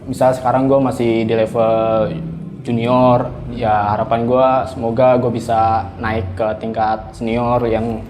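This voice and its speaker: A man's voice: mid-range voice, little pitch movement, measured pace, background noise. A man of twenty-three, casually answering interview questions. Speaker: interviewee, young worker.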